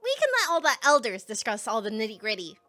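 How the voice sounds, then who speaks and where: A perky young village girl for a cartoon fan dub, acted by a woman, with a very high, bright voice. She is bubbly and slangy, swinging freely in pitch with playful, feisty eagerness. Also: sing-song, fast talker, soft background music. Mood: chatty explaining. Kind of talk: animated fan dub acting.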